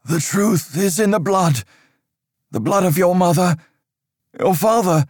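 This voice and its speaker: A man voicing a fearful old priest whose shrill voice quivers as he preaches and accuses. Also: unhurried, a little mellow, no room sound, clean studio sound. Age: older.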